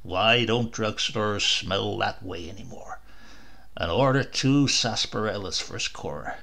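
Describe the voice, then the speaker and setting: A man's voice: on the low side, measured pace, vocal fry, bright, forceful tone, pitched below the performer's own voice, full character voice. A man voicing a mild-mannered, nostalgic storybook husband who speaks in a wistful, coaxing, hesitant way.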